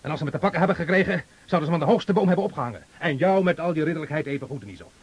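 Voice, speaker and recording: An actor playing a bold, ruthless army officer in a radio drama, brusque, commanding and mocking. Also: male voice, a little high, lively intonation, racing, slightly rough. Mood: scornful. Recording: noisy old radio drama recording.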